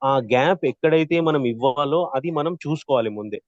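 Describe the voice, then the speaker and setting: A man teaching an interactive online class as a trainer, explaining with examples and often checking in with a quick "right?". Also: medium pitch, dry recording, lo-fi sound. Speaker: voice modulation trainer.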